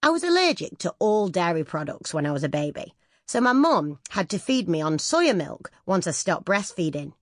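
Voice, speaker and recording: Woman, audiobook narration, scripted memoir reading, expressive varied intonation, dry recording. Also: fairly low pitch, moderate pace, no echo.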